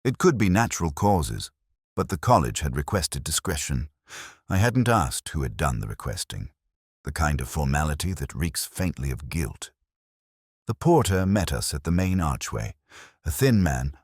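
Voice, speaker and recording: A man playing an ageing, weary police detective, narrating an audio drama in a measured, literary, wry and reflective way. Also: rumbling low, sing-song, husky, no room sound, studio quality, heavily acted.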